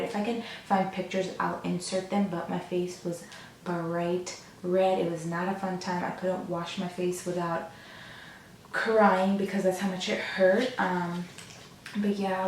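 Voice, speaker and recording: Young woman vlogger, hoarse and raspy, rambling casual chat; echoey home room, faint hiss behind. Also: medium pitch, darkish tone, far from the mic. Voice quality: slightly raspy. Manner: rambling, many ums and restarts. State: hoarse from a sore throat. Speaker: beauty vlogger. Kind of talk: casual get-ready-with-me chat.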